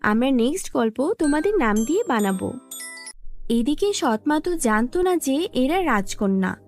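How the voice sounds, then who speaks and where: Female narrator for children's fairy tales, high register, light music bed. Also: very animated, normal speed, a little mellow. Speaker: storyteller.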